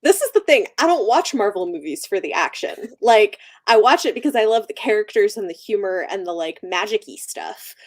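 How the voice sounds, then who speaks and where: A woman's voice: medium pitch, expressive, fairly quick, hardly any pauses. A woman chatting casually and informally as a livestream host, enthusiastic about what she likes. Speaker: book channel livestream host. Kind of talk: casual chat.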